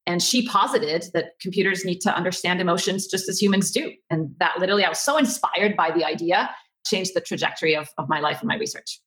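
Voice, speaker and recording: A woman from Egypt giving conversational, animated answers as an interview guest. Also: fairly low pitch, fast talker, rough recording. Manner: animated, expressive. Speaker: interview guest, tech company co-founder.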